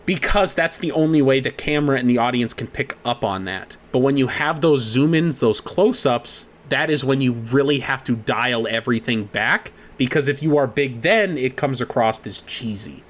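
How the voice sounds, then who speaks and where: A man's voice: mid-range voice, lively intonation, normal speed, few pauses. Casual man explaining, swears freely. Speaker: podcast co-host. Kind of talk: casual podcast chat.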